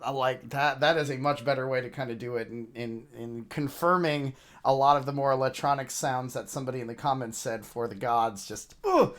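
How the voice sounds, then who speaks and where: A man with a mid-pitched voice hosts a casual reaction session. He is excitable and gushing, and tends to ramble as he works through what he thinks. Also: fairly quick, hardly any pauses. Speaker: reaction video host, VTuber.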